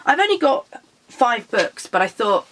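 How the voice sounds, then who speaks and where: Slightly croaky chatty young woman. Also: fairly high pitch, very animated, measured pace, noisy background. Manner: chatty, self-correcting, stumbles over titles. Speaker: book vlogger. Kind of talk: casual chat to camera.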